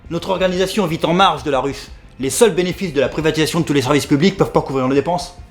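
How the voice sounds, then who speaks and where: Guy doing a grumpy confrontational bar owner mobster, sci-fi audio drama, rattles it off quick, music under it. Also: fairly high pitch, natural voice. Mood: challenging, scornful. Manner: gruffly sarcastic, confrontational. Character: surly, foul-mouthed bar owner in a crime clan.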